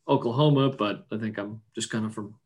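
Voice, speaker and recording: A man's voice: lowish voice, fairly quick, a little mellow, lo-fi sound. A man in his forties from Iceland with no accent left, a business owner chatting loosely as an interview guest, full of fillers and restarts. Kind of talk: casual interview chat.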